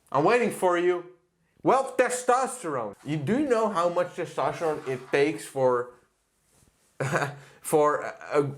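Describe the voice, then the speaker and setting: A man who works as a fitness coach, reacting to a clip in a blunt, profane rant. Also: high voice. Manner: blunt, profane, ranting with laughs. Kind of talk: casual reaction commentary.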